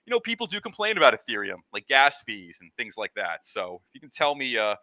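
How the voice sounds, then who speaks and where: A man hosting a casual interview, feeling his way through long, halting, rambling questions. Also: medium pitch, huge pitch swings, bright, forceful tone, no echo. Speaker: interviewer, host.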